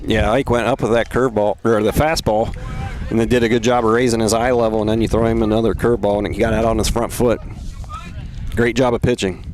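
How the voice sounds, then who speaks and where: Fast-talking male baseball color commentator. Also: lowish voice, flat delivery, a little mellow. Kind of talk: casual sports analysis commentary. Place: outdoor ballpark broadcast.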